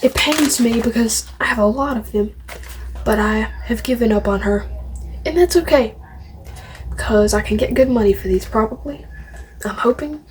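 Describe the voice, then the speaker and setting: A woman's voice: fairly high pitch, moderate pace, long pauses, a little hiss or hum, low-quality recording. A woman vlogging casually to camera, showing off a collection in a rambling, opinionated way and repeating phrases. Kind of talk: casual show-and-tell to camera.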